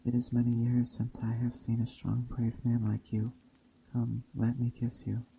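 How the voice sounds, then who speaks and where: A man voicing a wily, seductive witch enchantress in a storybook tale, with soft, coaxing speech. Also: mid-range voice, completely flat delivery, measured pace, vocal fry, a little hiss or hum, low-quality recording. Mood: pleading, flattering.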